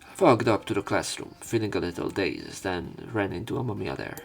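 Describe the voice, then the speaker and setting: A man's voice: low-pitched, sing-song, a little fast, vocal fry, soft background music. A man reading visual novel narration aloud in a subdued way, as a let's player.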